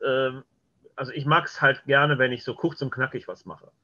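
Male guest, bright forceful voice, casual chat, slightly dull lo-fi recording. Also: medium pitch, fairly even intonation, normal speed. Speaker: interview guest, writer and director. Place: home room, video call. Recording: dull, lo-fi video call audio.

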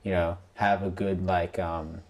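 A man's voice: deep voice, little pitch movement, measured pace. A young man in his twenties hosting a casual podcast, rambling with lots of fillers, on a close studio mic. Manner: rambling, full of "like" and "you know". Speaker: podcast host, filmmaker. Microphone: podcast mic on boom arm.

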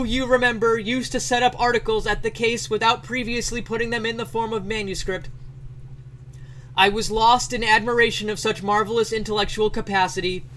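A man playing an excitable letter writer, yelling out a typed letter in a dramatic, emphatic reading. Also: sits high, a little hiss or hum, voice pushed up, rough recording. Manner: shouting, declamatory, emphatic word by word.

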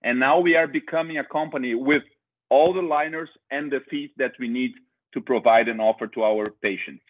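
A man's voice: medium pitch, brisk. A middle-aged man from Brazil with a Brazilian accent, presenting a webinar over a video call with hesitant restarts and filler uhs. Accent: Brazilian Portuguese. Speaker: company director presenting a webinar. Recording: tinny phone-like video call audio.

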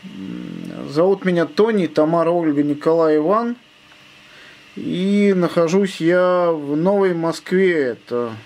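A man's voice: fairly high pitch, a little mellow. A man making casual amateur radio contact, spelling out call signs in phonetic words. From Russia.

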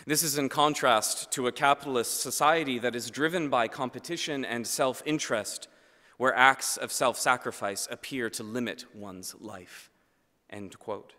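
A man in his thirties preaching a sermon at a lectern microphone in a large church, measured and earnest, letting his phrase ends fall low and quiet. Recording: long church reverb.

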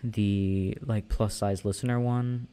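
A young man answering listener questions in a casual, soft-spoken, hesitant way, with many fillers and restarts. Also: on the low side, fairly even intonation, slow pace, clear, resonant tone. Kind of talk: casual Q&A chat.

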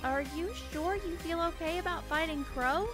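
A woman's voice: very high voice, normal speed, music in the background. A woman voicing a sweet, girlish young woman in a comic dub. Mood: hesitant concern. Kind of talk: animated comic-dub voice acting.

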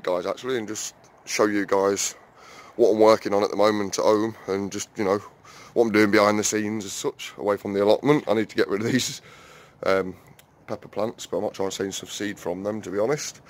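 A man chatting casually to viewers while walking around his allotment and shed, full of fillers and calling them guys. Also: fairly low pitch. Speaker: allotment vlogger. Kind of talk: casual walk-and-talk vlog. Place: outdoor allotment, then small garden shed.

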